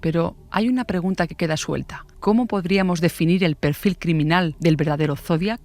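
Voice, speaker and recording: A woman's voice: on the low side, fairly quick, a little mellow. A woman hosting a true-crime podcast with scripted narration. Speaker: podcast host, journalist.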